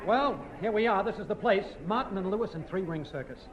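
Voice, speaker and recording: A dull, noisy old radio recording of a cheeky male pal. He rattles along fast, breezy and easygoing, in 1950s comedy style. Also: high-pitched, lively intonation. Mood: casual.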